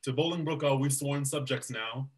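A man playing a grave, loyal duke, telling the story in measured verse on a video call. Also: mid-range voice, close to an everyday voice. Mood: solemn. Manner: measured storytelling, bursting into alarm. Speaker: actor in a staged reading. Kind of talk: Shakespeare verse reading. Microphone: webcam on a video call.

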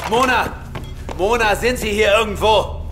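An actor playing an investigator who urgently calls out in a horror audio drama. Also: male voice, high voice, unhurried. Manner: urgent calling. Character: male investigator urgently calling out for a woman. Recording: loud noise behind voice.

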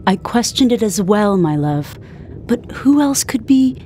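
A woman's voice: medium pitch, sing-song, measured pace, background noise. A woman playing a regal, steadfast warrior queen in a video game, formal and commanding. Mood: reassuring. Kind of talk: dramatic video game acting.

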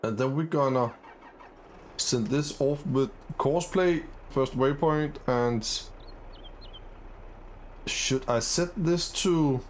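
Upbeat, slow-talking gamer man. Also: mid-range voice, slight background noise. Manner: upbeat, easily excited, many fillers. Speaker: gaming channel host. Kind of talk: casual let's-play commentary.

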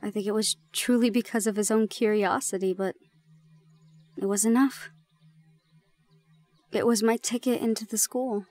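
A woman playing a shy, gentle young gorgon in an intimate audio roleplay, speaking softly and haltingly. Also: mid-range voice, steady tone, natural voice. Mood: reflective, confiding. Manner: soft, hesitant, halting.

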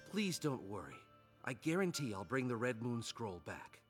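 A man voicing a calm, courteous, resolute adult ninja in an anime dub, earnest and composed. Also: mid-range voice, normal speed, faint music behind, lightly acted. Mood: courteous, assured.

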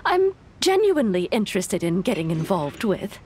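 A woman's voice: medium pitch, big pitch swings, normal speed, darkish tone, slight background noise, close to an everyday voice. A woman voicing a curious young merchant woman in a video game, chatty, inquisitive and a little gossipy.

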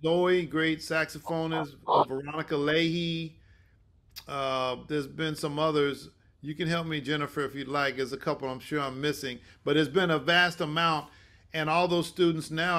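An older man hosting an online session informally, speaking haltingly with many restarts. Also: fairly high pitch, fairly bright tone. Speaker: host and mentor. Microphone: video call.

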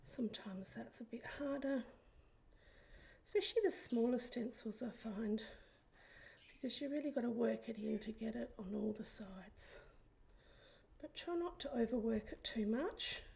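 A woman with a croaky, hoarse voice, chatting casually through a craft tutorial and often dropping into a whisper as she works. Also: on the high side, moderate pace, a little mellow. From Australia. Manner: chatty, often drops to a whisper while working. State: hoarse, recovering from illness. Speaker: craft vlogger. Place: craft desk indoors, fan running.

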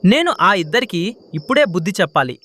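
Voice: medium pitch, very animated, brisk, bright, forceful tone. A performer voicing a lonely, aging male crow in a children's bird cartoon. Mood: determined.

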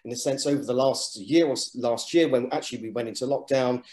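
Male lecturer, halting delivery with fillers, roomy sound. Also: medium pitch, a little mellow. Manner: halting, with many fillers and restarts. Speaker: architecture lecturer presenting an open day. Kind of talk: presentation over slides.

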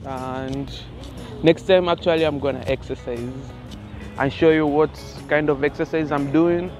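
A young man, casually vlogging outdoors while riding a bicycle and chatting straight to his viewers. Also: on the high side. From Kenya. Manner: chatty, keeps calling out to viewers as "guys". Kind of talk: casual vlog to camera. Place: outdoors on an open roadside. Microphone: phone held at arm's length.

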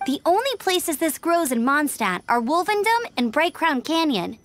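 An earnest young knight scout in a fantasy game. Her voice is high and lively in pitch, dutiful and reassuring, with faint noise behind. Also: moderate pace. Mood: explaining. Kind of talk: video game voice acting.

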